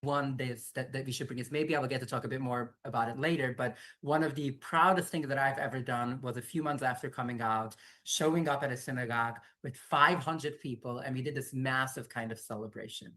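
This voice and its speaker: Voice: mid-range voice, a little fast, few pauses, pushed and bright. A panel speaker at a university event, earnest and emphatic.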